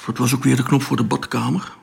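A man's voice: medium pitch, little pitch movement, fast, gravelly, slight background noise. An actor playing an informal, curious and easily puzzled spaceship crewman in a radio drama. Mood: bemused. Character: tired, bemused young crewman, informal and curious.

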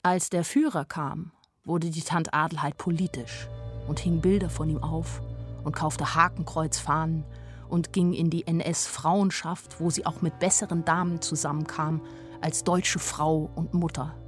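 A young woman recounts her life in a radio play. Her voice sits on the low side, weary and candid, with faint music behind it. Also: fairly even intonation, unhurried. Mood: matter-of-fact. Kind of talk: first-person radio play narration.

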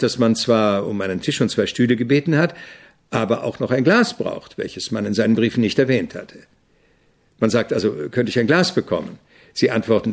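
An actor playing an opinionated, irritable avant-garde composer in a German radio drama, speaking in a didactic, deliberate way with a gravelly, husky voice. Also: male voice, medium pitch, close to an everyday voice.

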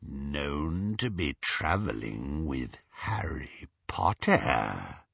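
A menacing werewolf gang leader from a fantasy audiobook. His voice drops very low into a raspy, breathy growl. He speaks slowly, with quiet threat, on a dry, slightly dull recording. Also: animated, no echo, exaggerated voice. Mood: quiet menace.

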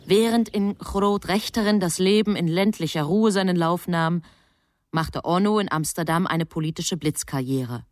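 An actress playing a composed, knowing storyteller, narrating a German radio drama in measured phrases. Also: female voice, lowish voice, dry recording, clean studio sound, lightly acted. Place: studio.

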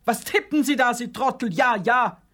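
An actor playing a gruff, insulting police officer who barks impatiently through an interrogation in a radio crime comedy. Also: male voice, very high voice, normal speed, fairly bright tone, slight background noise. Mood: impatient, scornful. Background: typewriter clatter.

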